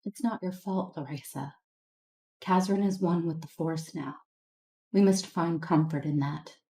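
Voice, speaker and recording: A woman playing a gentle, sorrowful Jedi consoling her family in a tender, measured way, in a sci-fi audio drama. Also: deep voice.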